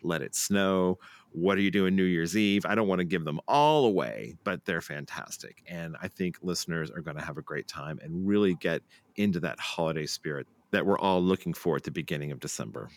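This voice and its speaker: Deep-voiced jovial man on air. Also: a little fast, no room sound. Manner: jovial, playful. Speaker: radio station director and guest. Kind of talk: casual radio interview chat.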